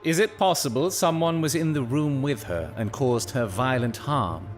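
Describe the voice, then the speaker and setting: An actor playing a keen, analytical gentleman detective in an audio drama, questioning in a probing, deliberate way. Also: mid-range voice, soft background music, close to an everyday voice. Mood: probing, thoughtful.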